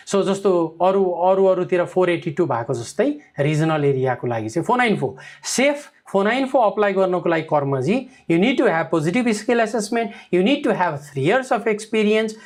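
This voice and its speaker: Guy talking super fast, advisor answering comments, lots of uh and you know. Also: sits high. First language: Nepali. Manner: code-switching, heavy fillers, frequent checks like "okay?". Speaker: migration advisor. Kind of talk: live Q&A answering viewer comments.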